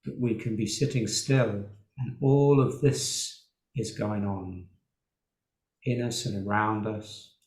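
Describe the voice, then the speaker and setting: A man leading a guided meditation as a teacher, speaking in an unhurried way with long pauses. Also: lowish voice, steady tone, a little mellow. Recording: roomy, echoing sound.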